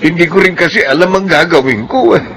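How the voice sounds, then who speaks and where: An actor playing a worried, humble village husband in a Filipino radio drama, hesitant and pleading. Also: male voice, high-pitched, expressive, brisk, fairly bright tone. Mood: anxious. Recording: noisy radio drama recording.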